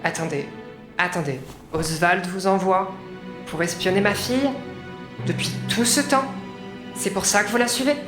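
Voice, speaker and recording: A woman playing a wary, protective older mother in an audio drama, grave and halting in a measured way. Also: medium pitch, natural voice. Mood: startled, suspicious. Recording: echoey room, loud music underneath.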